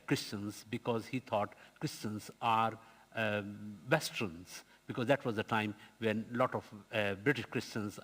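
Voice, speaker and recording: A man preaching an earnest sermon, telling a story with halting restarts and pauses. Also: lowish voice, a bit bright. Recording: long church reverb.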